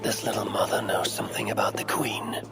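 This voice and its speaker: A man playing a grim, determined Viking warrior in a video game, with a gravelly voice. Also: on the low side, steady tone, brisk, whispered, loud music behind the voice. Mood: low, musing. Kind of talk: video game cutscene acting.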